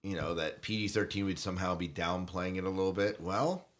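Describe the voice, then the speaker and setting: A man's voice: low-pitched, fairly even intonation, fairly quick. A man hosts a casual podcast. He chats with jokey, geeky banter, as one fan to another. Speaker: podcast host.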